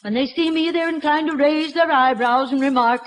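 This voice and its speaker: An actress playing a prim, fussy schoolteacher with a precise, theatrical manner in a 1940s radio comedy. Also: female voice, sits high, fairly quick, slight background noise, lightly acted. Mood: solemn, comic. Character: prim, fussy schoolteacher who slips into comic slang. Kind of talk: 1940s radio sitcom acting. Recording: vintage 1940s radio broadcast.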